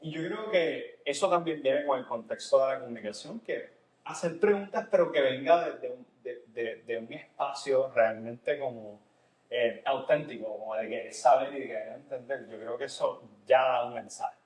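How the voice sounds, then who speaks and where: A man's voice: medium pitch, moderate pace, a little mellow. A man with a Puerto Rican Spanish accent mixed with some Nicaraguan, chatting casually as a therapist co-hosting a podcast. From Puerto Rico. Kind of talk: casual conversational podcast talk. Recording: roomy, echoey sound.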